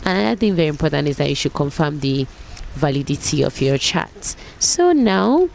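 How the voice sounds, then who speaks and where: A woman with a low-pitched voice talks through a tutorial in a casual, explanatory way. She presents like a pilot vlogger, with faint noise behind her. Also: brisk, gravelly, low-quality recording.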